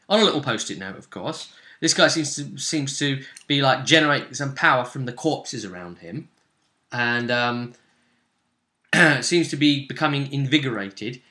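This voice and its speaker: A man recapping a tabletop role-playing session as game master, in a casual, animated storytelling manner. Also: mid-range voice.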